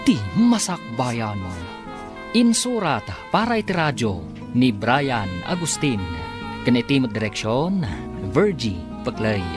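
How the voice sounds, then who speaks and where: A man narrating and announcing a radio drama in a formal announcing manner. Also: mid-range voice.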